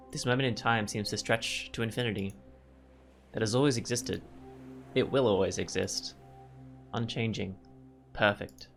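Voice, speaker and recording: A man's voice: medium pitch, a bit bright. A man hosting a gameplay video, reading story text aloud and adding casual commentary. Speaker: gameplay video host, narrator.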